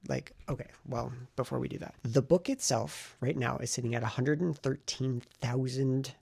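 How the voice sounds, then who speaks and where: A man talking casually to camera in a vlog, rambling and thinking aloud in a self-reflective way, with a gravelly, husky voice. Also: medium pitch, normal speed, a little mellow, no echo, clean studio sound. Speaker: writing vlogger and novelist.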